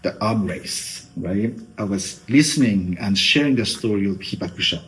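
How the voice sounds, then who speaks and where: A man's voice: fairly low pitch, lively intonation, moderate pace, fairly bright tone, poor audio. A middle-aged man from Japan with a Japanese accent, a guest giving thoughtful interview answers with many fillers like "you know".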